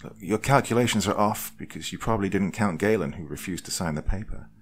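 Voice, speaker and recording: A man's voice: low voice, quick pace, a little hiss or hum, close to an everyday voice. A man playing a blunt, impatient, calculating woman who explains matter-of-factly in a story.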